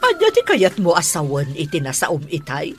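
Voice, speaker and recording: A woman's voice: low-pitched, wildly swooping pitch, normal speed, faint music behind. An actress playing an indignant wife who argues sharply with her husband. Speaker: wife, lady of the house. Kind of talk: radio drama acting.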